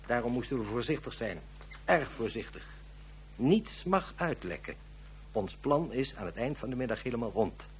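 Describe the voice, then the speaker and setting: An actor playing a grave, cautious interplanetary police inspector in a radio drama, speaking in a deliberate, official manner. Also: male voice, medium pitch, expressive, slight background noise, close to an everyday voice.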